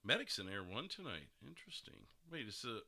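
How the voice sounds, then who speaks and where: A man's voice: mid-range voice, big pitch swings, a little fast, bright, forceful tone, slight background noise. A man hosting a podcast in casual chat, with hesitant, rambling phrasing. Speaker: podcast host.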